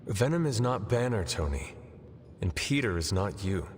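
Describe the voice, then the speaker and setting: Stern, low-voiced formal warrior. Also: male voice, very animated, normal speed, slight background noise. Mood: firm, frank. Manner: formal, clipped, no contractions. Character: stern, formal demon-blooded warrior hero. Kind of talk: cinematic video game acting. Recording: long reverb, faint music behind.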